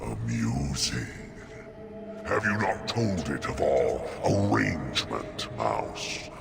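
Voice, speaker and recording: A man's voice: sing-song, slow, music in the background, exaggerated voice. A man playing a huge, ancient, mocking demon in a fantasy video game, with a menacing growl and a theatrical, taunting delivery. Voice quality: deep gravelly growl.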